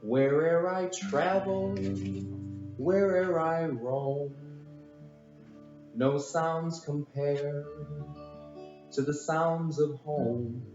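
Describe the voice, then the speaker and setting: A woman singing a children's folk singalong song to guitar accompaniment. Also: deep voice. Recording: echoey, dull, loud guitar music.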